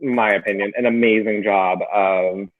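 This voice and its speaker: Earnest co-host on a tinny call line. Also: male voice, lowish voice, fairly even intonation, moderate pace. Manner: earnest, with many fillers. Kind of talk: casual video-call hosting. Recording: tinny phone-like video call audio.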